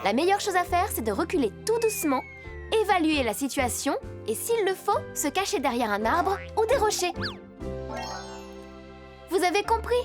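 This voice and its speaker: A woman's voice: very high-pitched, sing-song, normal speed. A woman voicing a caring female rescue vehicle in a French children's cartoon dub, instructive and reassuring. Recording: loud children's music behind.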